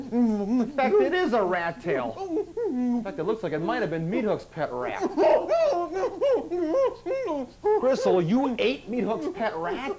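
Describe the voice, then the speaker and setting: A man's voice: high-pitched, full character voice. A young man playing a falsely cheerful, menacing janitor who hosts a parody children's puppet show, talking in a coaxing, patronizing way. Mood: scolding. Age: young adult. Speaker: host. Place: small indoor puppet-show studio set.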